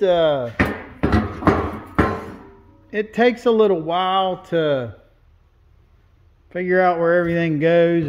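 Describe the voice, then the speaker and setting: A man welding and fabricating, walking through his work in a shop in a plain-spoken, casual way as he points things out. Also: on the high side. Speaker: welder and fabricator. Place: large indoor metal fabrication shop.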